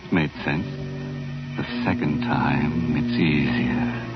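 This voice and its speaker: An actor narrating an old-time radio thriller as an ominous, sardonic storyteller of the macabre. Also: male voice, very deep voice, steady tone, slow pace. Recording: muffled vintage radio recording. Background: dramatic music.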